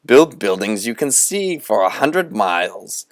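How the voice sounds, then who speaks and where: A grand old trickster of a storybook, as a man reads him out, declaiming in a showy, sweeping way. His voice swoops up and down with big lively leaps, yet stays rooted low. The sound is close and dry, with no room around it. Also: a little slow, no room sound. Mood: grandly scheming. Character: grand, theatrical old male trickster guide.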